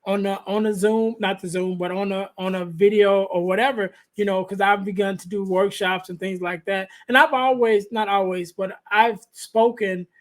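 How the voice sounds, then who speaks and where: A man with a light, high voice hosts a podcast conversation. He talks softly and haltingly, restarting phrases and leaning on fillers as he thinks aloud. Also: fairly even intonation. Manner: halting, many restarts and fillers, soft-spoken. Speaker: podcast host. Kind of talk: conversational interview. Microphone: podcast microphone.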